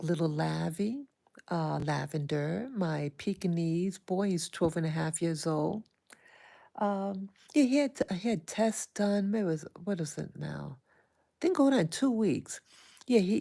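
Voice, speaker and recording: A soft-spoken woman hosting an early morning livestream, talking hesitantly with many pauses and fillers and greeting her viewers. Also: on the low side, vocal fry. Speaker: livestream host. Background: small dog occasionally heard.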